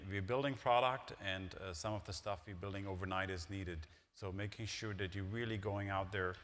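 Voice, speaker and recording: Low-voiced man wrapping up a conference. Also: a bit bright, in a large hall. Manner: informal, hesitant, with many fillers. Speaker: company executive and co-presenter. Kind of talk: closing remarks at a conference. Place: large conference hall.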